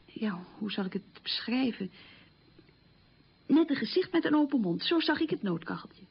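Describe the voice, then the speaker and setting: An actress playing a reflective middle-aged woman recalling wartime childhood hunger in a halting, emphatic radio monologue, with a husky, creaky voice. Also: fairly high pitch, sing-song, exaggerated voice. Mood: wistful, emphatic. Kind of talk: radio play monologue, conversational reminiscence. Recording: dull lo-fi radio recording.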